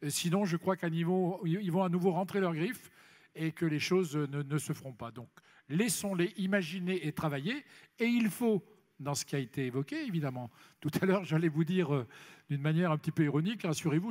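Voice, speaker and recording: Guy politician on a panel, kinda high voice, repeats stuff for emphasis, big echoey hall. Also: a little fast, a little mellow. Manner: emphatic, repeats phrases for stress. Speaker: elected official speaking as congress panellist. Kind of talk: impromptu panel discussion at a congress. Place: large congress hall plenary session. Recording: reverberant large hall, amplified speech.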